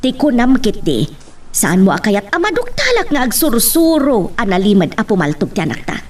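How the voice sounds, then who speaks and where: A woman's voice: fairly high pitch, huge pitch swings, normal speed. A woman playing a scolding, accusing mother in an audio drama, with a Filipino accent. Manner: accusing, exclaiming. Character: scolding, suspicious mother and nagging wife. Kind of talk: Ilocano radio-style drama acting.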